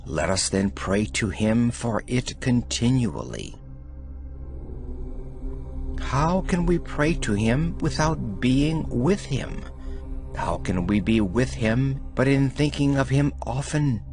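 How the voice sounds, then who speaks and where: Slow, low-voiced man reading solemnly. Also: a little mellow. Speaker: audiobook reader. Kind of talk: scripted reading of devotional letters.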